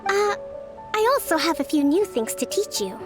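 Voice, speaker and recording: Calm young priestess leader girl, highish voice, formal and diplomatic, game character with music behind. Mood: calm, composed. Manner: measured, formal, calmly authoritative. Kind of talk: scripted video game character acting.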